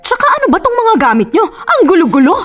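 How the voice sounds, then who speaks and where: Haughty mother-in-law, high swooping pitch, reproachful, radio drama, faint music behind. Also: sing-song, fast, fairly bright tone, full character voice. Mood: disdainful reproach. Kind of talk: Tagalog radio drama acting.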